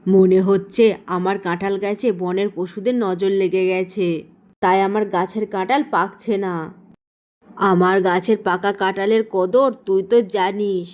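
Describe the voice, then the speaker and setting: A woman's voice: mid-range voice, fairly even intonation, moderate pace, a little mellow, close to an everyday voice. Lady doing a bossy greedy tiger boss man for a kids cartoon, scolding and blustery. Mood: scolding, irritable. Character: bossy, greedy male tiger village chief. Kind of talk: cartoon story voice acting.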